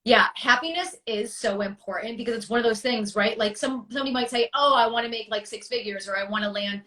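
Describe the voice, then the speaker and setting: A woman's voice: a little high, quick pace, pushed and bright. A woman hosting a casual interview show, affirming her guest and asking open questions. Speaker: show host.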